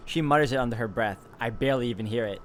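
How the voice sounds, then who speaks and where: A man walking viewers through a visual novel. His voice sits at a middle pitch. He reads the narration steadily and drops into easygoing comments on the game. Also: rapid-fire, slight background noise. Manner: steady, even reading, relaxed asides. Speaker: gaming YouTuber. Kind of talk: reading game text aloud with commentary.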